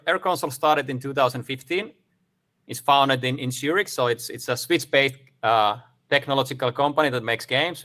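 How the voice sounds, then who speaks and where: A man's voice: mid-range voice, bright, forceful tone. A man from Finland giving a casual, upbeat presentation over a video call, with many fillers. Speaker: guest speaker.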